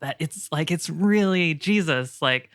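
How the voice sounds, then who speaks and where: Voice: mid-range voice, animated, unhurried, fairly bright tone, no echo, clean studio sound. A podcast guest talking through ideas in a reflective, thoughtful and earnest way.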